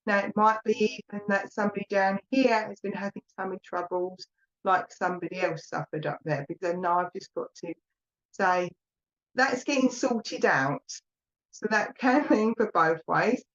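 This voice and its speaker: A woman with an Essex accent giving a live psychic reading, chatty and rambling, talking warmly to viewers by name. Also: mid-range voice, steady tone, normal speed, lo-fi sound. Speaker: psychic medium. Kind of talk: live online mediumship reading.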